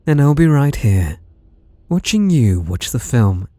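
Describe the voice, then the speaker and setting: A British man playing an obsessive, seductively playful masked killer, taunting in whispery, drawn-out questions close to the mic in an ASMR roleplay. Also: mid-range voice, big pitch swings, dark tone, dry recording. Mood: smug, menacing. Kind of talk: ASMR roleplay, intimate monologue. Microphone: close microphone.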